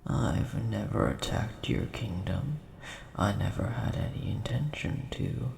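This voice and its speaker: A very creaky, low-pitched dragon, acted out by a man, large but mild and bookish, in an audio roleplay. He talks slowly and politely, quick to take offence, in a roomy, echoing space. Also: animated, a little mellow, full character voice. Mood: offended. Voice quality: gravelly, creaky. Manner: polite, measured, mildly indignant. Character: large, mild-mannered, nerdy male dragon, easily offended coin collector. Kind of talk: scripted audio roleplay addressed to the listener. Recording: roomy echo, faint background hiss.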